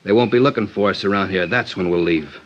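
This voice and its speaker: Suspicious, jumpy male gunman man acting, low threatening delivery, old-time radio crime show, muffled sound with some noise. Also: fast, lightly acted. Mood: cold, defiant. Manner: threatening tough-guy talk, clipped slangy speech. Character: menacing, suspicious male gunman, jumpy hard-boiled crook. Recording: dull, noisy old radio recording.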